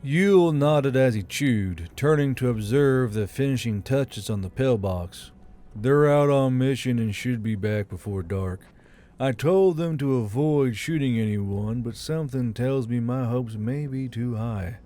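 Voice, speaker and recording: A man's voice: mid-range voice, measured pace, dark tone. A man reading military fantasy fiction as a scripted audiobook narration. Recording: music under the voice.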